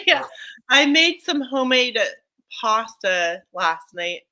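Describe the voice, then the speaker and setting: Bright chatty woman co-hosting a stream. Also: high voice, sing-song, slow, poor audio. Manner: chatty, laughs often. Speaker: livestream co-host. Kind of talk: casual chat.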